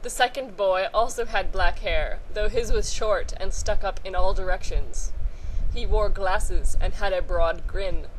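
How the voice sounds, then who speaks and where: A woman reading a story aloud informally, halting and sometimes stumbling over or repeating phrases. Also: medium pitch, a little hiss or hum, low-quality recording. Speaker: podcast host reading a short story aloud.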